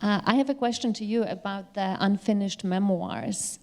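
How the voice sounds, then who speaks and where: A woman speaking as a panelist in a discussion, explaining in a measured way, recorded in a reverberant hall. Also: mid-range voice, a little mellow.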